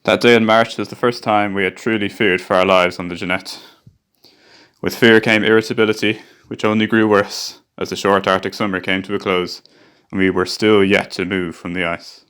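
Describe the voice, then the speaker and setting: A man voices a ship's crewman recalling a perilous voyage, speaking gravely in a low voice. The narration is steady and reflective, as in a radio play. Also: normal speed, close to an everyday voice.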